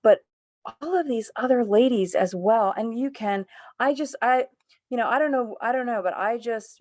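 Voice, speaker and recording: A woman's voice: fairly high pitch, a little mellow. Woman on a zoom call just gushing about how beautiful everyone is, rambly and heartfelt. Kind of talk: heartfelt sharing on a group video call.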